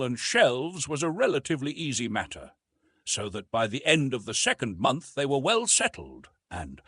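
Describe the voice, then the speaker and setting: Expressive, husky male audiobook narrator. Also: mid-range voice, no room sound. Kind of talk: scripted narration of classic adventure fiction.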